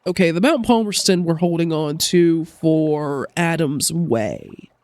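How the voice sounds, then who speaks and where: A woman's voice: sits low, lively intonation, slow pace, a little mellow, dry recording. A woman with a congested, recovering-from-a-cold voice, chatting casually as she plays a game and thinks aloud. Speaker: let's play host. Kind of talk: casual gameplay commentary.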